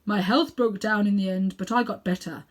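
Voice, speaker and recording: A woman's voice: medium pitch, normal speed, close to an everyday voice. A woman plays an elderly magician uncle from a children's storybook. He is sinister and full of himself, talking down to his listener with pompous, heavily stressed phrases. He takes offence easily. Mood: boastful, secretive. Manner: pompous, condescending, emphatic.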